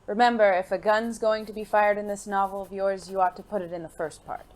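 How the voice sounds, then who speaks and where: An actor playing a suave, genteel gentleman secret agent in an audio drama, with formal, refined phrasing and a playful, flirtatious, teasing manner. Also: medium pitch, little pitch movement, brisk, natural voice.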